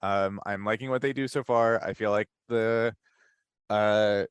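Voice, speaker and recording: A man giving measured, halting opinions as a panelist in a casual podcast discussion. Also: on the low side, fairly even intonation, clear, resonant tone, dry recording, studio quality.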